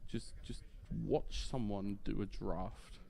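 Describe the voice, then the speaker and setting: Low, mellow-voiced male game commentator. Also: steady tone, slow pace, gravelly.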